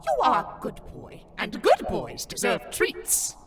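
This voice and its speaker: A ghostly grandmother sprite, sweet and cryptic, with a high voice that swoops wildly. She dotes theatrically in a fandub cartoon style, over faint noise. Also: wildly swooping pitch, a little slow, full character voice. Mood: doting. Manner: doting, theatrical, sing-song.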